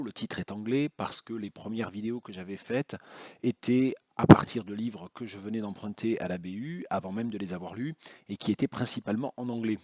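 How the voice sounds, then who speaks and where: Guy with a kinda low breathy voice, lots of umms and restarts, dry close sound. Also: a little mellow, no echo. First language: French. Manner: hesitant, many fillers and restarts. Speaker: university academic, book vlogger. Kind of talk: casual spoken book vlog.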